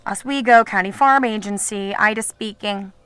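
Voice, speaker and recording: An actress playing a weary, businesslike farm agency official in an audio drama. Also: female voice, mid-range voice, moderate pace, a bit bright, clean tone, dry recording, close to an everyday voice.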